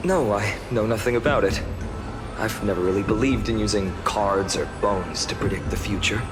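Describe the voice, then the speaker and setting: An actor playing a friendly, teasing young warrior man in an anime-style video game, wistful and skeptical, in a conversational, expressive way. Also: lowish voice, normal speed, loud music behind the voice, close to an everyday voice. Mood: somber.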